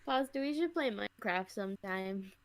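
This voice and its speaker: A woman's voice: mid-range voice, expressive, moderate pace, dry recording. A young woman chatting casually on a podcast.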